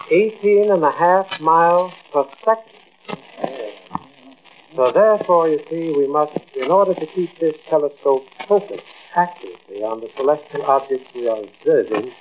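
An actor playing a courteous, solemn older astronomer who lectures in a measured, precise, formal way, in 1940s radio style. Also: male voice, fairly high pitch, lightly acted. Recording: muffled, hissy old-time radio recording.

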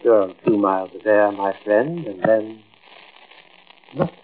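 An actor playing a courteous, solemn older astronomer who lectures in a measured, precise, formal way, in 1940s radio style. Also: male voice, fairly low pitch, expressive, natural voice. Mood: solemn, grave. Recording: muffled, hissy old-time radio recording.

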